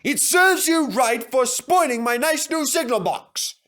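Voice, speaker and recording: A man's voice: extremely high pitch, lively intonation, unhurried, a bit bright, faint music behind, voice pushed up, exaggerated voice. A man voicing an irate, blustering railway signalman who storms and scolds. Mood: furious.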